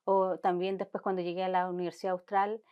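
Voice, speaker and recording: A woman's voice: on the low side, flat delivery, brisk, clean tone, highs cut off. A Chilean woman marine biologist, speaking thoughtfully in an interview and often restarting as she searches for words. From Chilean Patagonia. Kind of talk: conversational interview.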